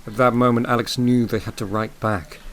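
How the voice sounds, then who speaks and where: An actor playing the narrator of an audio drama, telling a solemn story in a measured, dramatic way. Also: male voice, mid-range voice, steady tone, slight background noise, lightly acted.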